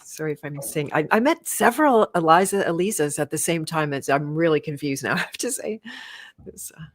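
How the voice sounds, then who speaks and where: A woman's voice: sits low, expressive, a little fast. A woman hosting a casual interview over a video call, affirming her guest and restarting her sentences often. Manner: warm, affirming, trailing restarts. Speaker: podcast host.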